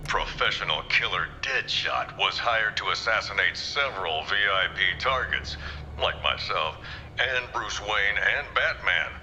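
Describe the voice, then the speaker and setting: A man's voice: lowish voice, expressive, a little slow, pushed and bright, long reverb tail, muffled recording, soft background music, heavily acted. An actor playing a male TV presenter narrating in a dramatic, declaiming broadcast style.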